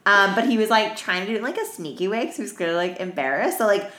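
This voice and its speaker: A woman podcast co-host telling stories in casual, crude slang with an animated, giggly manner. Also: medium pitch, fast talker. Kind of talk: casual chat, storytelling. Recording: roomy, echoey room.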